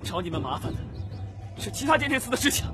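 A woman's voice: mid-range voice, big pitch swings, fast, soft background music, close to an everyday voice. A woman voicing an earnest, troubled young male cultivator in an audiobook, speaking emphatically and pleadingly. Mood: firm warning. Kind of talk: audiobook character dialogue.

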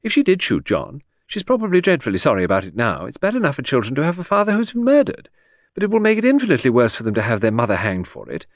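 A man's voice: mid-range voice, wildly swooping pitch, fast talker, no room sound, clean studio sound, pitched above the performer's own voice. A man voicing a vague, charming aristocratic older lady who talks in a breezy, scatterbrained gush. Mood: fretful.

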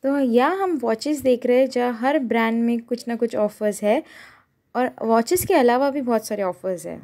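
A woman's voice: on the high side, normal speed. A young woman chatting casually as she tours a busy shopping mall, mixing Hindi with English, recorded on a handheld phone. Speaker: vlogger. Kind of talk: casual mall tour vlog. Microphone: handheld phone camera. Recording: noisy crowded mall on a phone. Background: loud crowd chatter and mall noise.

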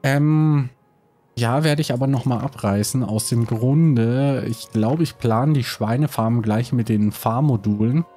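A man's voice: mid-range voice, a little slow, mellow, faint music behind. A man with a cold, casually commenting on his building game while thinking aloud with many hesitations. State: has a cold. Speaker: let's play host.

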